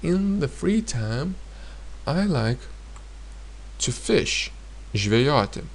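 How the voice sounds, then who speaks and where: Slow, gravelly-voiced man teaching grammar. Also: fairly high pitch, sing-song, a little hiss or hum. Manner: hesitant, many filler pauses, repeats example sentences. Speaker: language teacher. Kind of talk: explanatory grammar lesson.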